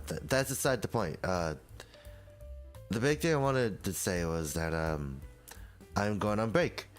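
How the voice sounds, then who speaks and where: A young man streamer, stuffy from just waking up, rambling hesitantly through a casual announcement to his viewers. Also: low voice. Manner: rambling, hesitant, many fillers and restarts.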